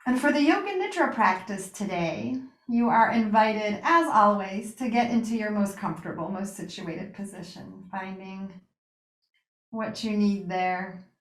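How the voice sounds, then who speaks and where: A woman guiding a relaxation meditation with gentle spoken instruction, pausing and reassuring in a soothing way. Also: mid-range voice. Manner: unhurried pauses, soothing reassurance. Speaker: yoga nidra meditation guide. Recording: roomy, echoing sound.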